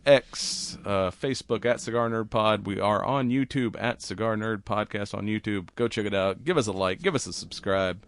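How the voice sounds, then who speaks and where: A man co-hosting a podcast, chatting casually and rambling with laughs, his voice raspy and worn. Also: lowish voice, fairly bright tone, no echo. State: raspy, voice worn from cigars and whiskey. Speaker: podcast co-host. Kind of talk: casual chat.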